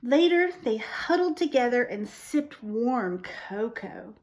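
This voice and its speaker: Expressive high-voiced woman reading to children. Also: takes it slowly. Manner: expressive, asks the listener questions, explains simply. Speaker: storytime reader for young children. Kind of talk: read-aloud picture book storytelling with explanatory asides.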